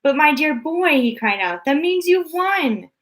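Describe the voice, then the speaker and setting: A woman voicing an excitable, eccentric grown man in a storybook reading, talking in bouncy, grand exclamations. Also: fairly high pitch, normal speed, lightly acted. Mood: delighted.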